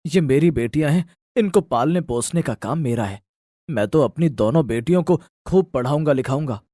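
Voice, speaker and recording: Man acting a humble farmer, mellow husky voice. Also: fairly high pitch, lively intonation, moderate pace, no echo, clean studio sound. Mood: firm. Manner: earnest, resolute. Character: humble, devoted poor farmer, adoptive father. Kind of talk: dramatised Hindi moral story acting.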